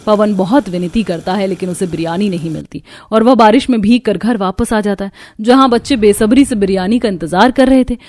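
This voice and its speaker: A woman's voice: mid-range voice, brisk, hardly any pauses, darkish tone, no echo. A woman reading scripted narration for a cartoon story.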